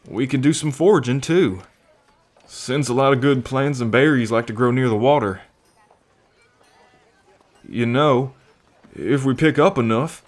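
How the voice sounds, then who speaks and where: A man's voice: mid-range voice, close to an everyday voice. A man playing a friendly, earnest half-bull man in an ASMR roleplay, talking in a chatty, folksy way. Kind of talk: ASMR roleplay, acted speech to the listener.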